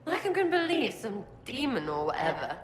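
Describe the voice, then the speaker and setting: A woman's voice: a little high, very animated, moderate pace. A bitter, frightened young rebel in a game cutscene. She is blunt, jittery and slangy, and her voice rings in an echoey room with faint noise. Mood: scared, defiant. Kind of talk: dramatic video game cutscene acting.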